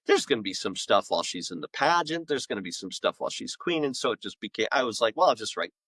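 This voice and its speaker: A man's voice: on the low side, very animated, fast talker, a bit bright, no room sound. A middle-aged man speaking frankly and self-deprecatingly in a conversational podcast interview as a guest author.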